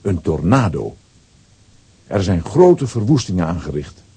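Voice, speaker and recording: A man narrating a scripted children's story in an expressive storytelling manner. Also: sits low, a little slow, husky.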